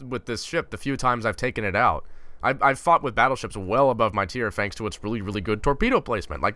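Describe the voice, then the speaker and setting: A young gaming YouTuber from Finland speaks in a casual vlog with a bright, forceful voice. He rants in an exasperated, sarcastic way. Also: medium pitch, no echo.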